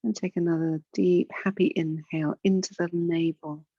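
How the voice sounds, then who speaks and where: A woman with a fairly low voice guides a relaxation practice as a yoga instructor. She speaks gently and without hurry, leaving pauses between instructions. The recording is dry and a little dull. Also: fairly even intonation, no room sound. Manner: soothing, unhurried, gentle guidance with pauses.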